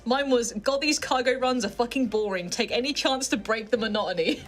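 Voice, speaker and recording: A woman's voice: on the high side, fairly quick, soft background music. A woman chatting casually as a player on a tabletop game livestream. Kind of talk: casual chat.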